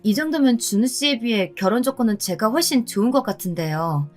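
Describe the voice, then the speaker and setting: A woman's voice: fairly high pitch, lively intonation, brisk, soft background music, voice pushed up. A woman voicing a polite but firm career woman in her thirties, in a dramatized story narration. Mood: assertive. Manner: polite, persuasive.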